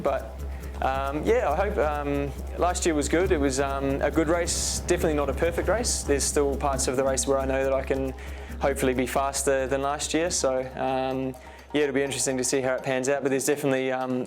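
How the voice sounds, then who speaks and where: A man, an ultra runner answering interview questions in a relaxed way, with many pauses and restarts. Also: mid-range voice.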